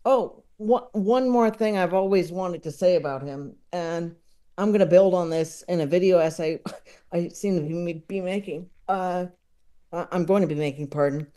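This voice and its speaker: A man speaking as an interview guest, casually discussing comedy in a halting, chuckle-prone conversational way. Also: high voice, dark tone, clear, resonant tone.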